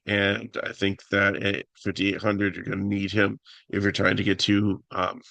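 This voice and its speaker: Raspy low-voiced man, flat and gravelly. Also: no room sound. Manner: halting, many fillers and restarts. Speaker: fantasy sports analyst host. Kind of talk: casual solo picks talk.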